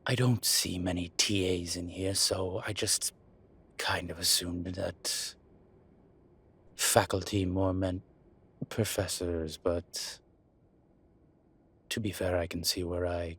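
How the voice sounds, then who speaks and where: Deep, husky, evasive demon professor played by a man. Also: little pitch movement, a bit bright, full character voice. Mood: awkward, reluctant. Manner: halting, defensive, muttering asides. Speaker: voice actor. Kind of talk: audio roleplay acting, one-sided dialogue.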